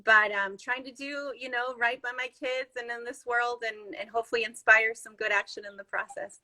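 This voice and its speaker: A woman from Chile talks in a relaxed, upbeat way, full of little fillers. Her voice is fairly high and very bright. She sounds like a guest in a casual chat. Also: moderate pace, rough recording. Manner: chatty, upbeat, many fillers. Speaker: podcast guest. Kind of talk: casual conversation.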